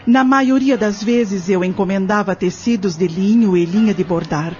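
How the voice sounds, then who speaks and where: A woman's voice: mid-range voice, normal speed, a little mellow, lightly acted. A woman with a Brazilian accent playing a composed farm wife who answers politely and firmly as a witness in an audio drama. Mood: polite, assured. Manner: polite, firm, formal answers. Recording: dull audio with music underneath.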